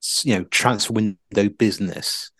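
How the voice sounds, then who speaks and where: A man's voice: lowish voice, normal speed, a bit bright, no room sound. A man co-hosting a football podcast, casually analysing in rambling, stop-start sentences full of asides.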